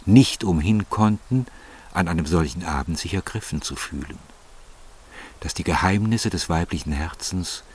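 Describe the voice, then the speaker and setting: Deep-voiced male literary narrator. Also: slightly rough.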